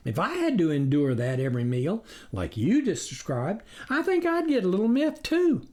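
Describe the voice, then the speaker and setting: A folksy storybook farmer acted out by a narrator, a gullible country man. He muses aloud in plain rustic talk, and his pitch rises and falls freely. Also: sing-song, normal speed, darkish tone, lightly acted. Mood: musing. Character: folksy, gullible older male farmer, slow to doubt.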